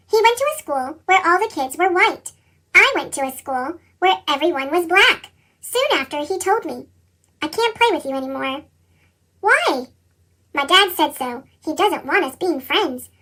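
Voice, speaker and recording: A reader with a squeaky, pitched-up chipmunk effect, narrating a children's picture book and acting out the dialogue expressively. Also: heavily acted. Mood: earnest, hurt. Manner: expressive storytelling, acts out dialogue.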